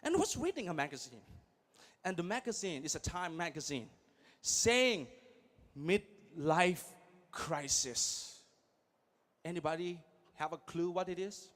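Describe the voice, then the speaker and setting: Bright, high-voiced energetic stage speaker man. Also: a little slow, pauses often. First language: not English. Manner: energetic, swings from quiet to shouted. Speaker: guest speaker. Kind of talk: motivational stage speech. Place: large event hall.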